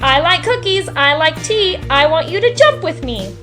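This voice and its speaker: Woman playing a little new girl, high voice, bouncy lilting intonation, sassy, playful, chanting like a jump-rope rhyme, storybook. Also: big pitch swings, moderate pace, bright, forceful tone, faint music behind, full character voice. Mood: playful, inviting. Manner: sassy, sing-song. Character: tiny sassy fearless new schoolgirl, playful.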